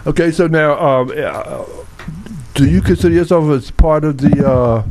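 A man's voice: on the high side, moderate pace, slight background noise. A man hosting a live call-in radio talk show, chatty and conversational, often restarting his words. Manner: conversational, repeats and restarts words, animated asides.

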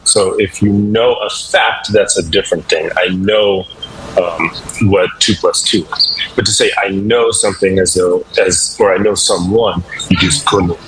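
A man's voice: low voice, fairly even intonation, moderate pace, noisy background. A man hosting a radio language segment, upbeat and instructive, drilling listeners to repeat phrases. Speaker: language teacher segment host.

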